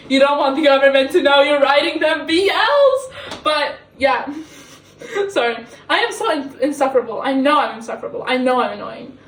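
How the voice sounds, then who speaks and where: Giggly young woman, echoey kitchen. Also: sits high. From Middle East. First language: not English. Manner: giggly, excitable, self-mocking. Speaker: book reviewer. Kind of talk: casual rambling book review. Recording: echoey kitchen, camera at a distance.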